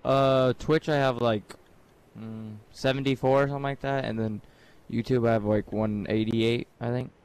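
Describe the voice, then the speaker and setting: A man's voice: mid-range voice, fairly even intonation, normal speed, a little mellow, clean tone. A man chatting casually as a teammate in an online game. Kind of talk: casual gameplay chat. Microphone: game voice chat.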